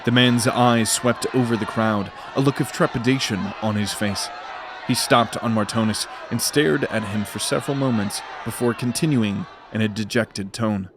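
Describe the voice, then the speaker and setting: A man narrating a scripted fantasy audio drama in a measured, dramatic storytelling manner. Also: on the low side, crowd noise, background noise.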